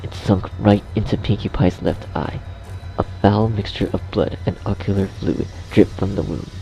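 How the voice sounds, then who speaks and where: Low, gravelly man narrating a story. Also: fairly even intonation, moderate pace, rough recording. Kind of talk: scripted horror story narration. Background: music.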